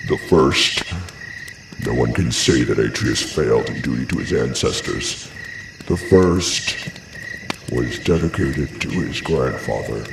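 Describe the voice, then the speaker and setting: An actor playing a grave, horrified messenger in a classical tragedy, declaiming a dramatic account in a gravelly voice. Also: male voice, extremely low pitch, lively intonation, takes it slowly, echoey room, recorded from a distance, background noise, heavily acted. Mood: horrified, solemn. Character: horrified royal messenger recounting a ghastly sacrificial murder. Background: music.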